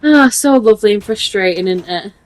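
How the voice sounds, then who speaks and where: A woman with a Mancunian accent chips in with short replies and giggles beside the host. Her pitch is middling and the sound is close and dry. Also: lively intonation, brisk, no room sound.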